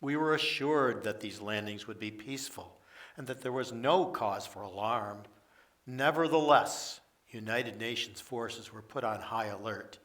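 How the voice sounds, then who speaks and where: A man playing a formal senior diplomat reading an official statement to the press in a radio play, deliberate in delivery. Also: on the low side, fairly bright tone. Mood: formal, insistent. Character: formal United Nations Secretary General addressing press. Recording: distant from the microphone.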